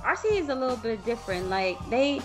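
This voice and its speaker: A woman's voice: a little high, very animated, fast talker, faint music behind. A young woman co-hosting a podcast, talking bluntly with slang and swearing freely. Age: young adult. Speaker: co-host. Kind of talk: casual podcast chat.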